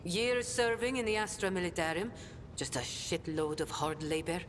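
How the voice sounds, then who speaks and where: An actress playing a hardened, defiant lowborn smuggler and ex-soldier in a video game, blunt and sardonic. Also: female voice, animated, moderate pace, lightly acted. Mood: bitter, sardonic. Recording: long reverb, loud rumbling noise behind.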